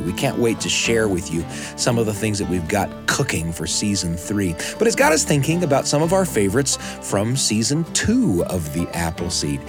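An American man hosting a radio show, moving between scripted introductions and friendly studio conversation. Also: fairly low pitch.

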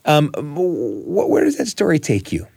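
Lively American male radio host. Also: fairly high pitch, measured pace, creaky, slightly rough, no echo. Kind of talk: reflective hosting and conversation. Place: studio.

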